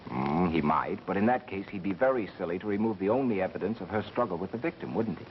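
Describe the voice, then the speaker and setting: An actor playing a calm, matter-of-fact radio engineer turned amateur detective in a 1930s mystery film. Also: male voice, low voice, fast, a little hiss or hum. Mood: reasoning. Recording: muffled 1930s film soundtrack with music.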